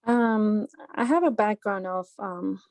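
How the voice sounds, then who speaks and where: A woman from New Orleans answers practice interview questions at a middle pitch. She hesitates often and fills pauses as she works out her points, and the recording is dry and close. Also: a little mellow, no echo. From born in Pakistan, grew up in New Orleans. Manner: hesitant, many ums. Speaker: medical graduate interviewee.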